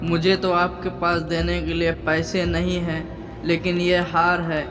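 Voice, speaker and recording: A man's voice: on the high side, monotone, unhurried, over music, close to an everyday voice. A man voicing a timid, honest, polite young lad in a cartoon story, with heavy reverb. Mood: earnest, nervous. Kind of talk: Hindi cartoon story dialogue. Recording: long hall reverb.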